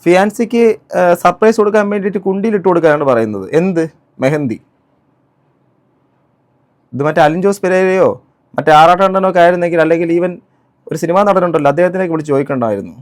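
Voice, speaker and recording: A man giving spoken commentary on a clip, indignant and full of rhetorical questions. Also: fairly high pitch. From Kerala, India. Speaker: reaction vlogger.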